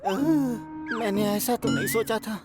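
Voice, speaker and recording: A woman voicing a boastful, excitable young male diesel train in a children's cartoon, with a dramatic, exclaiming manner. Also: on the high side, slow, over loud music. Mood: sulky. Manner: dramatic, exclaiming, self-important. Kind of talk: animated children's cartoon dub in Hindi.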